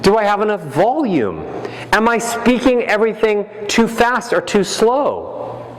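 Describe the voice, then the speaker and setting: A man giving a motivational keynote as a voice coach, animated and playful, acting out examples with his voice. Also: high-pitched, unhurried. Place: large hall. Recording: echoey hall, faint ambience.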